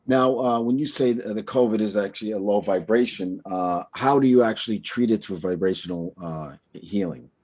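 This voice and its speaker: Male host, low voice, hesitant interviewer with fillers. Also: moderate pace, hardly any pauses, darkish tone. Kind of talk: interview questions.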